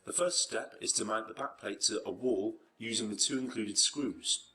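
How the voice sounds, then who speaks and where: A man's voice: lowish voice, normal speed, pushed and bright, faint music behind. A man reading scripted narration for an instructional video tutorial.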